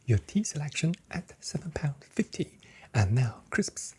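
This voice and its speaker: A person softly whispering a customer service role play for ASMR as a shop assistant, breathy and gentle, with playful, carefully phrased sales patter. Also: medium pitch, big pitch swings, unhurried.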